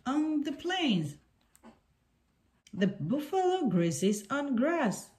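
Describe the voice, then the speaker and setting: A woman reading a picture book aloud for young children in deliberate, simple sentences. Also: sits high, very animated, darkish tone.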